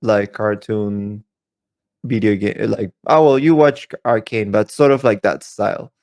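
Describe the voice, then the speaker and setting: A man's voice: fairly low pitch, steady tone, unhurried, darkish tone. A man chatting casually as a podcast co-host. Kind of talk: casual chat.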